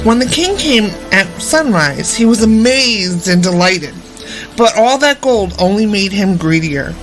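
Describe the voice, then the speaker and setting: A woman's voice: medium pitch, lively intonation, a little slow, low-quality recording. A woman storyteller reading a fairy tale aloud expressively. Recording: music behind the voice. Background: background music.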